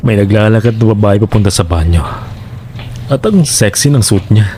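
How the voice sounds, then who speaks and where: A man's voice: lowish voice, animated, moderate pace, mellow, lightly acted. Man playing a sly, leering male lodger. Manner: chuckling, leering, insinuating. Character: lecherous, sly male lodger returning to his old apartment. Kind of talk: Tagalog horror audio drama acting. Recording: noticeable background noise.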